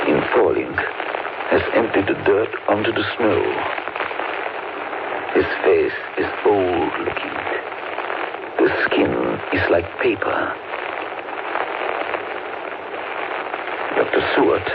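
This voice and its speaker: An actor playing an authoritative professor who hunts a vampire, with emphatic, dramatic delivery in 1930s radio style. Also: male voice, low-pitched, animated, slow, creaky, pauses often, exaggerated voice. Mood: tense. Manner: dramatic, emphatic, clipped commands. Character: urgent, authoritative male professor hunting a vampire. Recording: muffled, noisy 1938 radio broadcast.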